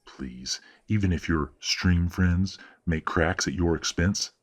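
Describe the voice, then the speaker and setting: Man playing a very deep, husky, condescending werewolf father. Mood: smug, needling. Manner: measured, patronizing, coldly genteel. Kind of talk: audio roleplay drama acting.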